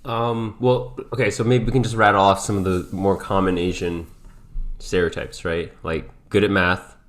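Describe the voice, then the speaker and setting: A man co-hosting a podcast in casual, reflective conversation, speaking hesitantly as he thinks out loud. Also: low voice, level tone, moderate pace. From grew up in several countries. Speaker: podcast co-host.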